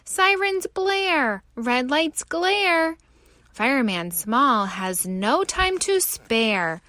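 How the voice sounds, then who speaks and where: A woman reads a picture book to young children in a high voice, unhurried and theatrical. Her pitch swings widely as she tells the story, in the expressive style of a storytime host. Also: dry recording. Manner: animated, theatrical delivery.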